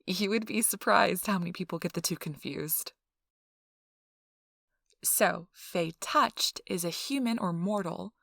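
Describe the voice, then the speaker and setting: A woman playing a friendly, chatty intake interviewer in a soft ASMR role-play, explaining things politely and informally. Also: lowish voice, measured pace, vocal fry, dry recording, clean studio sound, heavily acted. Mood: calm, businesslike. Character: friendly, chatty female intake interviewer at a vampire blood donation center.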